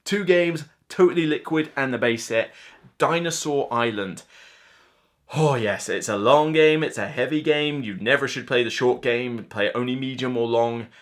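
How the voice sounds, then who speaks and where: A man's voice: mid-range voice, normal speed. A man in his thirties giving a casual, unscripted review to camera as host, chatty and emphatic, often acting out players' reactions. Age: 30s. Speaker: board game reviewer and channel host. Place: small indoor room lined with shelves of games.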